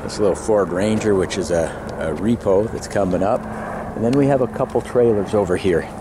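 Guy with a deep voice doing a casual auction walkthrough, bit of noise behind him on camcorder. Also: a little fast. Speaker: auctioneer promoting an online auction. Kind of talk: casual unscripted walk-around video narration. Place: large warehouse showroom, later outdoor lot. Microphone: handheld camera mic, operator behind camera. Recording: camcorder audio with faint background noise.

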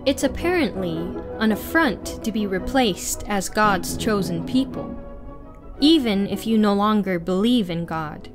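A young woman narrates a written piece over clearly audible music. Her pitch sits in the middle for a woman. She reads very slowly, in a deliberate and formal way. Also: lively intonation. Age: young adult. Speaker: narrator reading an article aloud. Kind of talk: scripted read-aloud narration.

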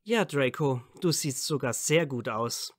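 A man's voice: mid-range voice, expressive, unhurried, no room sound. Guy acting as young wizard hero, sincere n playful, very dry recording, audio drama. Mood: playful banter.

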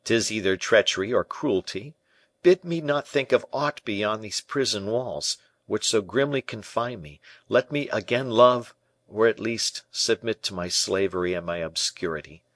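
A man's voice: fairly low pitch, lively intonation, unhurried, fairly bright tone, no room sound. A man reading a storybook part as a young imprisoned prince, proud, embittered and hot-blooded, in formal archaic speech. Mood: bitter outburst. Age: young adult. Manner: formal archaic speech, rhetorical questions, impassioned.

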